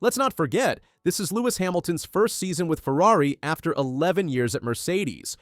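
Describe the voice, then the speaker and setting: Male narrator, higher-pitched and very animated, for dramatic, polished sports news scripts recorded dry. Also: a little fast, no echo. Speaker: narrator of motorsport news videos.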